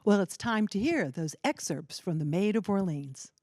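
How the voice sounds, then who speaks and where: A woman's voice: medium pitch, lively intonation, normal speed. Chatty woman hosting a TV show. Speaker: TV host. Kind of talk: TV interview chat.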